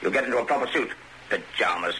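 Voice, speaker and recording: An actor playing a brusque, eccentric intelligence major with an English accent in a British radio thriller, brisk and commanding. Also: male voice, fairly low pitch, expressive, pushed and bright. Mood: impatient. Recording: noisy radio drama recording.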